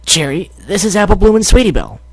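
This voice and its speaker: A brash young tomboy filly with a raised, eager voice , put on by a man reading a story. She talks earnestly and quickly, recorded close and dry. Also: sits high, sing-song, vocal fry, husky, no echo, voice pushed up, exaggerated voice, rough recording.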